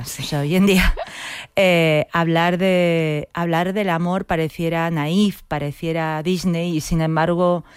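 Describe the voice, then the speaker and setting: Reflective woman with a clear, smooth voice. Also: deep voice, a little mellow, dry recording, clean studio sound. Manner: reflective, often seeks agreement with tag questions. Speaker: interview guest, playwright. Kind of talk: reflective conversational interview.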